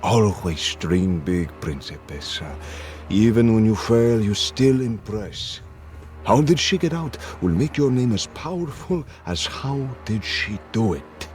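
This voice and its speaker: Suave father figure, grandiose, lowish pitch, noir game, music behind. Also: expressive, a little slow, darkish tone. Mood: proud, encouraging. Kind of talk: noir video game acting, remembered speech.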